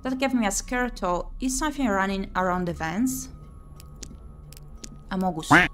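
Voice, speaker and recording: A woman streams a visual novel playthrough with faint music behind her. Her pitch sits in the middle range for a woman. She reads the narration aloud in an expressive, dramatic way and drops in her own asides. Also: unhurried. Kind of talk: game playthrough, reading story text aloud with asides. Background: game music.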